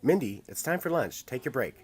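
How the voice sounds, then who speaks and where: A man acts out a bossy male supervisor in a radio play, familiar and insistent. His pitch swoops up and down dramatically, and there is faint noise behind him. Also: big pitch swings, a little fast, lightly acted. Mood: nagging. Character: male office supervisor, familiar but nagging boss. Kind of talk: radio mystery drama acting.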